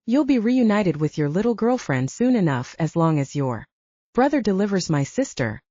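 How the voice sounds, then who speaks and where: A menacing male werewolf alpha from an audiobook, read by a woman, smug and sneering. The voice is mellow and goading, with swinging intonation. The sound is slightly dull. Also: mid-range voice, very animated, moderate pace, dry recording. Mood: taunting.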